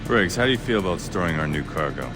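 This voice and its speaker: An actor playing a gruff middle-aged covert operative who gives terse orders in a video game cutscene. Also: male voice, animated, fast. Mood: terse, commanding. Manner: terse, commanding. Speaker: field team commander. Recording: loud music and vehicle rumble behind.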